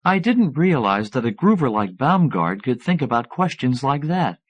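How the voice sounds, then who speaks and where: A man's voice: medium pitch, sing-song, normal speed, no room sound, dull audio, pitched above the performer's own voice. A man voicing an earnest, laid-back mystical woman minister, musing, in an audiobook. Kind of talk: acted audiobook dialogue.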